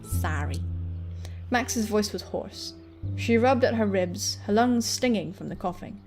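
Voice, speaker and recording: A woman's voice: mid-range voice, measured pace, darkish tone, faint music behind. A woman doing let's-play commentary, reading a visual novel aloud and joking crudely with plenty of swearing. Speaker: gaming video host.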